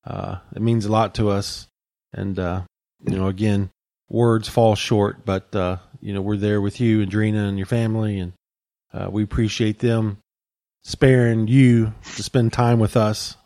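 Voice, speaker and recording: A man with a low voice, co-hosting a podcast and talking casually. He speaks in an earnest, supportive way and chooses his words slowly. The recording is dry and close. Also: little pitch movement, mellow, no room sound. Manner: earnest and supportive, slow deliberate phrasing. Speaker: podcast co-host.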